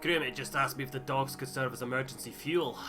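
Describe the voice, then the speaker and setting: A man's voice: medium pitch, steady tone, brisk, music in the background, natural voice. Man playing a blunt, bantering sailor. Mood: amused teasing. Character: joking, teasing sailor crewmate. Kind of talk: voiced game dialogue.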